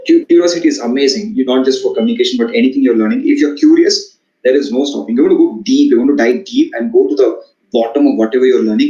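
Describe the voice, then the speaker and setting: A man's voice: mid-range voice, darkish tone. A man from South India with a South Indian English accent, Tamil his first language, giving an earnest motivational talk on communication, with frequent fillers and restarts. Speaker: guest speaker. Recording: echoey room, dull sound.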